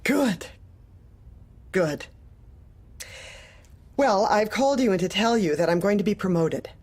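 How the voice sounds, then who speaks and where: An actress playing a cold, controlling female corporate manager, speaking in a measured, formal, probing way in an audio drama. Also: mid-range voice, lively intonation, a little mellow.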